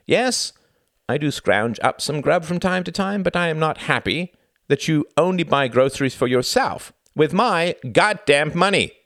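A man's voice: mid-range voice, wildly swooping pitch, brisk, vocal fry, hardly any pauses, fairly bright tone, no echo, clean studio sound, voice pushed up, exaggerated voice. A man playing a sarcastic, furious young husband, ranting and condescending in acted audiobook dialogue. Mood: condescending anger. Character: enraged sarcastic husband, young graduate student in a failing marriage.

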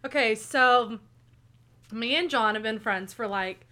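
A woman's voice: fairly high pitch, a little slow, sharp tone. A woman in her twenties from Oklahoma, co-hosting a casual podcast in a chatty, upbeat way with many fillers. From Tulsa, Oklahoma. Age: 20s. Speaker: podcast co-host. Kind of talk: casual chat.

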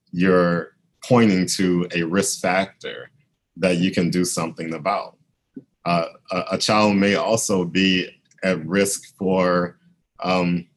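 Man, very low voice, thoughtful, measured, fillers, interviewee. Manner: thoughtful, measured, frequent "um" and "you know". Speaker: interviewee, education scholar. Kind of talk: academic interview.

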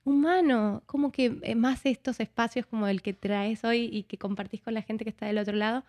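A woman speaking as a guest in a reflective interview, expressive and conversational, often voicing bits of dialogue as she talks. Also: on the high side, normal speed. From Argentina.

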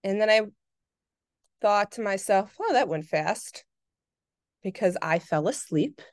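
A woman's voice: mid-range voice, animated, a little slow, no room sound, clean studio sound. A young adult woman hosting a podcast, telling personal stories casually in a chatty way, exaggerating for comic effect. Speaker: podcast host.

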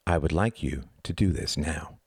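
Man playing a stern, deep-voiced disciplinarian. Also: animated, a little mellow, dry recording, studio quality. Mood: low, even. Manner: firm, measured, authoritative. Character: stern, grimly controlled adult man disciplining children.

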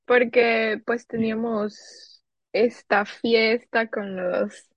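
Venezuelan accent, young woman, unhurried talk, dry muffled recording. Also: a little high, steady tone, dry recording. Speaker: guest. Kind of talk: casual chat. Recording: dull, dry lo-fi sound.

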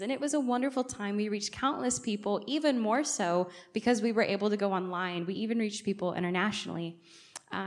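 A woman, a guest preacher and native English speaker, giving a conversational church sermon and often putting questions directly to the congregation. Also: medium pitch. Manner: engages listeners with frequent direct questions.

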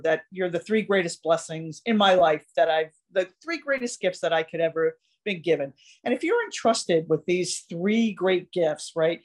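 A middle-aged woman, a mother guesting on a podcast, talking casually and earnestly, often checking in with "right?". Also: on the low side. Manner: earnest, talks with rhetorical check-ins like "right?". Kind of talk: casual heartfelt conversation.

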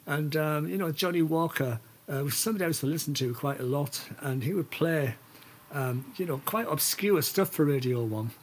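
A man's voice: a little high, animated, moderate pace. An older man chatting casually as a solo podcast host, hesitant and digressive, with wry, opinionated asides. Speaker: podcast host and amateur musician.

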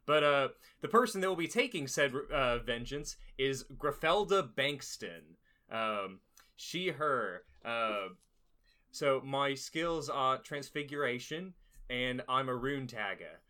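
A man's voice: mid-range voice, animated, slow, bright, forceful tone. A man in a casual tabletop game podcast, describing his character with lots of fillers and frequent laughs. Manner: rambling and laughing a lot, with many fillers.

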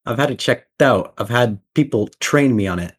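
A man's voice: mid-range voice, expressive, normal speed, slightly rough. A young man co-hosting a casual podcast, brash and joking, full of slang and swearing. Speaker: podcast co-host. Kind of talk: casual chat.

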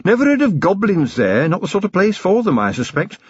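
A man's voice: sits high, huge pitch swings, fairly quick, slightly rough, dry recording, lo-fi sound, pitched above the performer's own voice, full character voice. A man voicing a large, jolly, good-natured woman constable, chatty and breezy in clipped colloquial phrases, from a fantasy audiobook.

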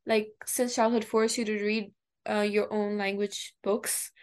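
A woman's voice: medium pitch, level tone, a little slow. A young woman from Pakistan, a native Urdu speaker, co-hosting a radio show in a chatty, informal way. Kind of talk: casual radio chat.

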